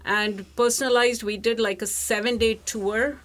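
An Indian-accented woman with a fairly high voice. She talks as a guest in a casual, conversational way, enthusiastic and emphatic about what she describes. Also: steady tone, moderate pace, a bit bright. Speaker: interview guest, travel professional.

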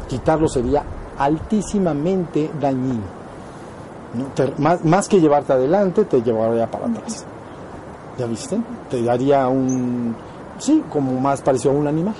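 A man's voice: medium pitch, noisy background. A man with a Mexican accent giving an informal spiritual lesson, explaining things and often checking that his students follow. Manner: explanatory, often checks understanding with short questions. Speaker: spiritual teacher.

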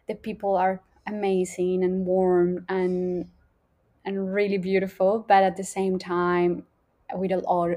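A woman's voice: lowish voice, darkish tone. A woman in her mid-thirties from Colombia, with a Colombian accent, telling her personal story in a podcast conversation, reflective and searching for words. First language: Spanish. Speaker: podcast guest, holistic coach.

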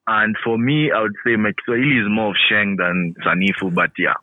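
A man's voice: fairly low pitch, fairly quick, no echo, narrow, tinny audio. A man from the coast, a guest in a casual interview who jokes and laughs a lot. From Kenyan coast. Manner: playful and animated, laughing often.

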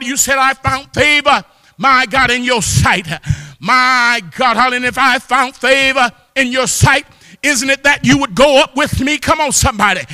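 A man preaching a fervent church sermon, exhorting with repeated exclamations of praise and often rising into shouts. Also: very high-pitched, smooth. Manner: impassioned, often breaking into shouts. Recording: long hall reverb.

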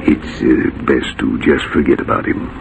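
An actor playing a guilt-ridden older banker in a 1940s radio drama, halting and pleading, with a gravelly voice. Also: male voice, rumbling low, music in the background. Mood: soothing. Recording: muffled, noisy 1940s radio recording.

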